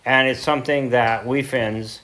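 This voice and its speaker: A man in his seventies with an American accent, speaking informally as a heritage lecturer, telling his story reflectively with pauses and self-corrections. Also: medium pitch, fairly even intonation, a bit bright. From central Idaho, United States. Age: 70s. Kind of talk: informal talk to a local group.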